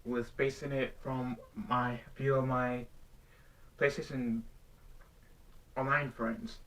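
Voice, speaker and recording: A man's voice: medium pitch, little pitch movement, takes it slowly, fairly bright tone. A young man vlogging off the cuff, rambling and restarting as he earnestly poses a question. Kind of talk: unscripted talk to camera. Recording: voice somewhat distant from the mic.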